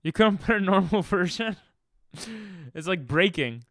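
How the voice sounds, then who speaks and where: A man's voice: high voice, lively intonation, unhurried, fairly bright tone, dry recording. A man whose first language is Hebrew, chatting casually on a game stream, reading chat aloud and breaking into silly freestyle rhymes. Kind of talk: casual live-stream chat while gaming.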